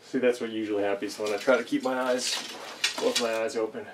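A man's voice: mid-range voice, fairly even intonation, a little fast. Young guy rambling to the camera, lots of ums, kinda far from the mic in a basement. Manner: rambling, self-deprecating, many fillers. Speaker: archery vlogger. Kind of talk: casual musing vlog. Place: small basement archery range, indoors. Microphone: camera mic at a few meters while he moves and shoots. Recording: distant camera mic with faint noise.

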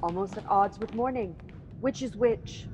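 A woman's voice: medium pitch, normal speed, noisy background, close to an everyday voice. A woman playing Lady Macbeth, a cool, commanding queen, in a radio drama with a firm, clipped delivery. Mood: urgent.

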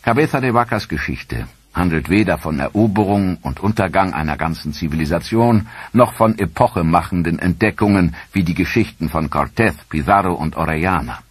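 A man giving measured, earnest scripted narration for a history documentary. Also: sits low, animated, slightly rough, no room sound. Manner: measured, earnest storytelling delivery.

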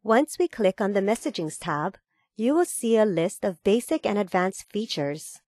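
Woman narrating a tutorial, dry and measured. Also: medium pitch, animated, a little mellow, no echo. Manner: measured, formal, step-by-step. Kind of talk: scripted instructional narration.

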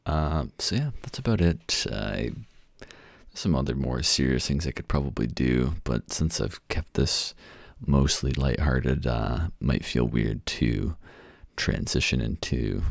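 Very deep, creaky-voiced man rambling casually. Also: slow, dry recording, clean studio sound. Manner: rambling, self-deprecating. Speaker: voice actor giving samples. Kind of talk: casual ASMR demo talk.

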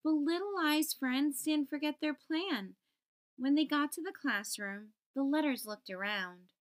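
A woman hosting storytime, reading a children's picture book aloud and enunciating deliberately for young listeners. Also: sits high. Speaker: storytime host.

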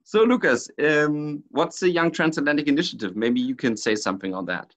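A man's voice: on the high side, fairly quick. A man hosting a podcast, speaking casually with frequent hesitations and filler sounds while he introduces his guests. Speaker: podcast host. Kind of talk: casual discussion.

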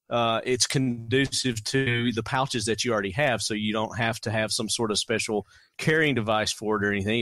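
A man hosting a casual podcast interview, relaxed and chuckling as he explains things. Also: fairly low pitch, no echo. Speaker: podcast host.

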